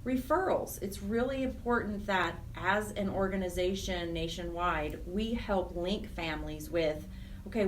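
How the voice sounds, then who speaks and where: An American woman explaining things earnestly and emphatically as a guest in a radio interview. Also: mid-range voice. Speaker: interview guest, program director of a nonprofit chapter. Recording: distant mic with faint noise.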